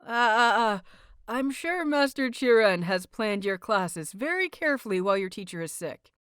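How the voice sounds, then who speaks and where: A woman's voice: a little high, lively intonation, moderate pace, fairly bright tone, clean tone, no echo, clean studio sound. A woman voicing a playful, cheerful and slightly bashful male teacher in an audiobook, with a light, teasing manner. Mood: nervous, polite.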